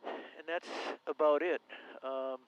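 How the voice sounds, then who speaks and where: A man's voice: on the high side, steady tone, takes it slowly, clean tone. A man, a pilot, casually talking through cockpit steps and settings aloud. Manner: talks through steps aloud, sometimes muttering. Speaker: pilot demonstrating the plane. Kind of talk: casual cockpit walkthrough with checklist talk. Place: small aircraft cockpit. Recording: dull cockpit intercom audio, faint noise.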